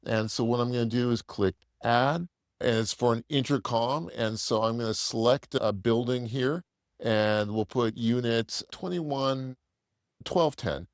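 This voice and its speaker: Male demo presenter, conversational and plain-spoken explainer, mid-range pitch, very little room sound. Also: measured pace, no room sound, studio quality. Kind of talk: casual product walkthrough.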